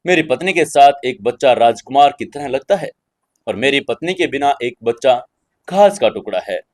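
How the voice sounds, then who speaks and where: A man voicing a lovestruck young husband in an audio drama, pleading and coaxing with shameless flattery. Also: medium pitch, normal speed, no echo, clean studio sound, natural voice. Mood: adoring.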